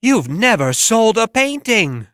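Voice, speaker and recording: A man voicing a pompous, excitable art critic in a dramatic story reading, exclaiming with overblown fervor. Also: sits high, slow, no room sound, clean studio sound, pitched above the performer's own voice, full character voice. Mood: excited.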